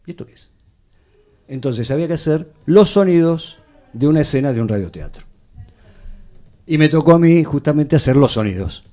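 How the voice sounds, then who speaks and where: A man's voice: a little high, lively intonation, normal speed, long pauses, dark tone. A mature man with a Buenos Aires area Argentine accent, telling anecdotes conversationally as an interview guest, thinking aloud as he goes. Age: middle-aged or older. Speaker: guest, radio actor and announcer.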